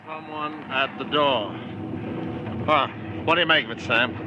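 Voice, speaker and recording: An actor playing an earnest, uneasy man, brisk and insistent, in 1940s radio drama style. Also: a little high, noisy background, natural voice. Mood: intent. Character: earnest, uneasy man troubled by an eerie tale. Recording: dull, dry old radio recording.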